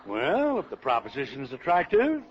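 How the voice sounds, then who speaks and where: An actor playing a wordy, genial, confident older crook with florid, pompous phrasing in an old radio crime drama. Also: male voice, mid-range voice, huge pitch swings, moderate pace. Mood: jovial, scheming. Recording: dull old radio recording.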